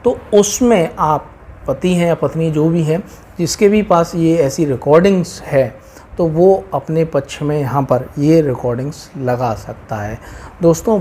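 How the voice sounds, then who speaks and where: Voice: mid-range voice, warm tone, slight background noise. A speaker with an Indian accent explaining law informally to viewers, repeating points and mixing in English legal terms.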